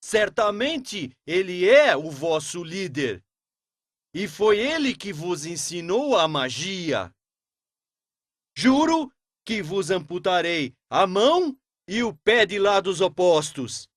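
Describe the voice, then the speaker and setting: An actor playing a haughty, mocking Pharaoh who threatens and shouts in an animated religious story. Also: male voice, sits high, slow, dry recording, clean studio sound, exaggerated voice. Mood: menacing. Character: haughty, mocking, tyrannical Pharaoh, adult man. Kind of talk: dubbed cartoon religious story dialogue.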